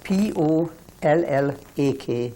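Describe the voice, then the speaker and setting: A Hungarian-born woman in her eighties, recalling her life slowly and haltingly in an oral history interview. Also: sits low, animated, warm tone. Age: 80s. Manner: slow, halting recollection with restarts.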